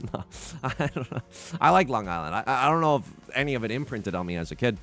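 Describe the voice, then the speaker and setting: Animated, jokey young man. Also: medium pitch, soft background music. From China, raised in the US. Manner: excitable, jokey, many quick restarts. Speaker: let's play host. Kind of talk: casual gameplay commentary.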